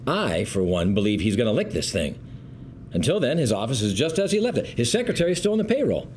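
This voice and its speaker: A man playing a smooth, condescending law firm partner at a script read, polished and measured. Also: medium pitch, big pitch swings, a little mellow, a little hiss or hum, natural voice. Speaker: actor at a script table read. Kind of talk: acted script read at a table.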